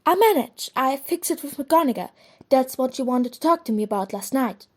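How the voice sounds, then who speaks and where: A woman playing an earnest, brisk young schoolgirl witch in an audio drama, speaking precisely. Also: high voice, expressive. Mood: brisk, assured. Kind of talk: fan audio drama acting.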